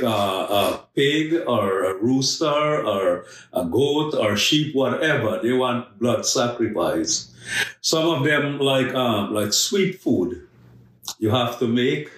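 Slow earnest guy telling his story, super echoey room, kinda far from the mic. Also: medium pitch, a bit bright. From Guyana. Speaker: missionary guest. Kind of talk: telling his life story in a podcast interview.

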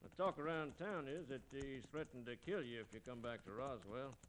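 An actor playing a sly, scheming outlaw with folksy cowboy phrasing in an old radio western. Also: male voice, medium pitch, brisk, natural voice. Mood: falsely friendly. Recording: noisy vintage radio recording.

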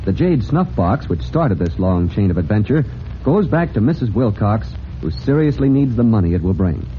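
A man's voice: fairly low pitch, sing-song, normal speed. Male announcer, vintage radio serial narration, dramatic, earnest, scripted, muffled audio, faint noise. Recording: dull, noisy old radio recording.

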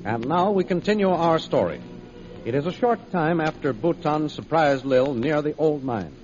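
A man's voice: on the high side, lively intonation, moderate pace. A man announcing and narrating a 1930s radio serial from a script in a dramatic, declamatory way. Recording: muffled old radio recording. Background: music.

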